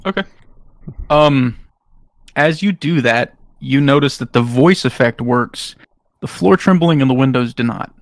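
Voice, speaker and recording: A man's voice: mid-range voice, measured pace, dry recording. A man improvising narration as game master in a tabletop role-playing game, speaking directly to his players.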